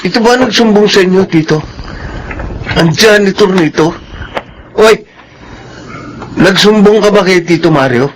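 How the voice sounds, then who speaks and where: A man's voice: sits high, measured pace, long pauses, noisy background. An actor playing a hot-tempered, arrogant young rich man who schemes as an obsessive suitor in a Filipino radio drama. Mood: angry, defensive. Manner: menacing, arrogant.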